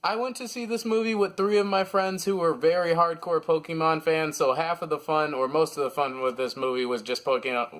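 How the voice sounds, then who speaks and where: A man's voice: a little high, steady tone, fairly quick, hardly any pauses, fairly bright tone, poor audio. A man hosting a casual, partly scripted movie review, talking informally and with plenty of opinion and slang.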